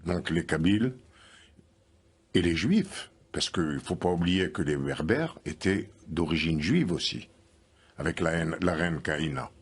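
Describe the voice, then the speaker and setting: A man's voice: deep voice, expressive, unhurried, slightly rough. A man speaking as a guest in a televised panel debate, explaining his point insistently.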